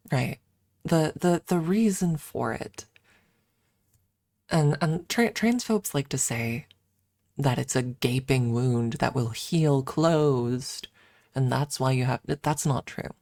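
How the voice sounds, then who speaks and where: Low-pitched woman, slow creaky delivery. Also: darkish tone, no echo, clean studio sound. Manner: rambling, candid and explanatory. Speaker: livestream host and ASMR streamer. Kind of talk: casual ASMR stream chatter. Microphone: close microphone.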